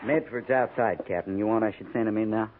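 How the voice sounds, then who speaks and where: An American man playing a gruff, dutiful veteran cavalry sergeant in a 1950s radio western, terse and rough in his grammar. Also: on the low side, fast, close to an everyday voice. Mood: dutiful, concerned. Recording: tinny 1950s radio recording.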